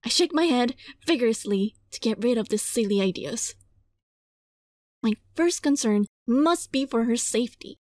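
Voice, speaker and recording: Girl w a high voice reading a visual novel out loud, kinda dramatic, casual asides, game music behind. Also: moderate pace, dry recording, studio quality. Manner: expressive, dramatized read-aloud with giggly asides. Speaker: gaming video host.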